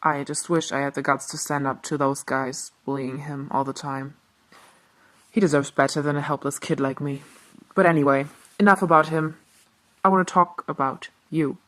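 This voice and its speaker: A woman's voice: deep voice, steady tone, moderate pace, a bit bright. A woman playing a loyal, protective teenage boyfriend in an audio drama. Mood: earnest.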